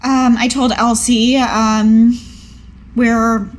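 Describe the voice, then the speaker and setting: A woman voicing an earnest, modest young female adventurer in a tabletop game, talking in a hesitant, gentle, casual way. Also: fairly high pitch, level tone, very slow. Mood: matter-of-fact.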